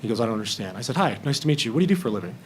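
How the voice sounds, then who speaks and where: A man's voice: medium pitch, very animated, racing, a little hiss or hum. A man speaking candidly as a film panelist in a roundtable discussion, acting out bits of remembered conversation.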